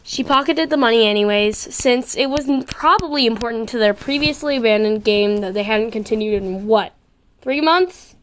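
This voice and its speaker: A woman's voice: on the high side, normal speed, rough recording. A woman narrating a story expressively as an amateur reader, sometimes stumbling and rereading words.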